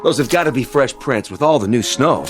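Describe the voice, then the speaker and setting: A man's voice: medium pitch, expressive, fairly quick, soft background music, natural voice. An actor playing a grumbling, curious police patrolman in a naturalistic radio drama. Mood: alert, excited.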